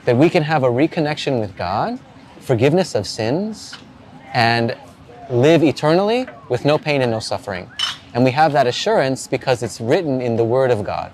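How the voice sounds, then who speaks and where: A man's voice: mid-range voice, animated, normal speed, a little mellow, slight background noise. A man in his forties interviewing a stranger outdoors by the sea, asking earnest, probing questions with frequent restarts. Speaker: street evangelist interviewer. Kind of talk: street interview about faith. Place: outdoors by the ocean.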